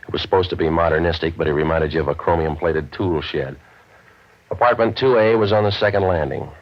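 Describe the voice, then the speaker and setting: An American man playing a cynical, wisecracking hardboiled waterfront detective in an old radio drama, with a deadpan, sardonic delivery. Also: deep voice, fairly quick. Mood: wry, world-weary. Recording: muffled old radio broadcast recording.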